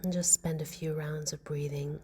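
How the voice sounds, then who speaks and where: A woman gently guiding a meditation in a soft, breathy, slow and soothing voice. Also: deep voice, flat delivery. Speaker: meditation guide. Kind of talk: guided meditation narration. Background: music.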